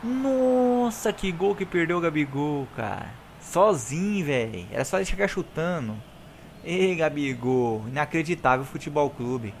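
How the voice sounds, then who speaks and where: A young Brazilian man, hoarse from recording, giving excited, slangy commentary over his own football video game match and talking straight to his viewers. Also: on the high side, measured pace, a little hiss or hum. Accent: Brazilian Portuguese. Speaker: gaming YouTuber. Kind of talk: live gameplay commentary.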